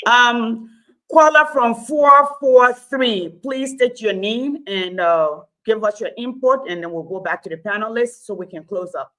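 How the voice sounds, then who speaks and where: A woman hosting a call-in talk show, brisk and polite with callers. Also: fairly high pitch, bright, forceful tone, poor audio.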